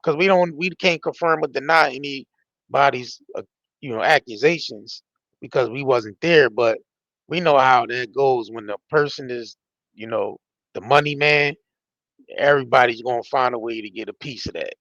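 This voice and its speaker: A man's voice: medium pitch, normal speed, fairly bright tone, dry recording, highs cut off. An American man giving casual, off-the-cuff commentary in a colloquial, conversational way, often checking that the listener follows. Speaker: reaction show commentator.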